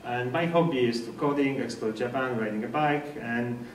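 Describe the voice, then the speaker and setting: A man from Poland with a Polish accent gives an informal technical presentation in slightly halting non-native English. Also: mid-range voice. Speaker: speaker at a developer meetup. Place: indoor meetup presentation room. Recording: echoey room, distant mic, faint noise.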